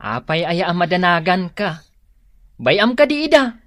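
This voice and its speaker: A woman's voice: fairly low pitch, big pitch swings, unhurried, fairly bright tone, no echo, clean studio sound. A woman playing a chatty, reassuring younger sister and daughter in an Ilocano radio drama.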